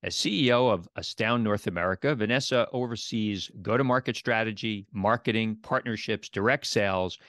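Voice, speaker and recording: A man hosting an interview podcast, presenting in a deliberate, announcer-like way. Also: on the low side, a bit bright, no room sound, clean studio sound. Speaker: podcast host. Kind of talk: scripted podcast intro and interview.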